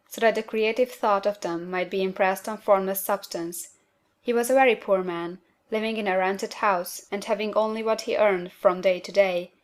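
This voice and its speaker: A woman narrating a self-help audiobook in a measured, earnest way, articulating each phrase clearly. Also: medium pitch.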